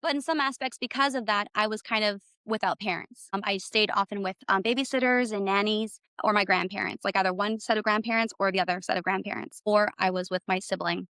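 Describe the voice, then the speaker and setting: A woman's voice: medium pitch, brisk, few pauses, a bit bright, clear, resonant tone, dry recording. A middle-aged woman from Canada, a guest in an interview, speaking reflectively with hesitant fillers. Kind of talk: personal interview conversation.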